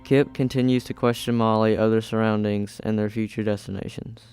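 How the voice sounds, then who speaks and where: A man's voice: on the low side, level tone, brisk, darkish tone, clear, resonant tone, dry recording, soft background music. A young man reading scripted story narration for an amateur radio play. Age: teenager or young adult.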